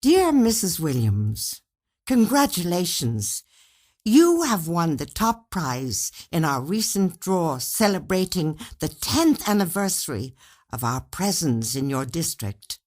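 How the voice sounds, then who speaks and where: A woman's voice: on the low side, big pitch swings, slow, a little mellow, pitched above the performer's own voice, exaggerated voice. A woman reading out a formal congratulatory letter from a male regional manager in a storybook, in a businesslike manner.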